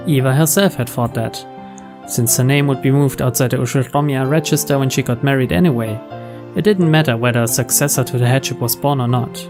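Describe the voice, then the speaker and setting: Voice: mid-range voice, fairly quick. A narrator reading scripted visual novel story text. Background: background music.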